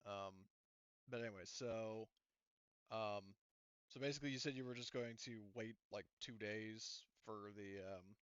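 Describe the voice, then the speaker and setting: A man running a tabletop roleplaying session as game master, explaining things casually and haltingly. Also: lowish voice, steady tone, fairly bright tone, clear, resonant tone, no echo, muffled recording.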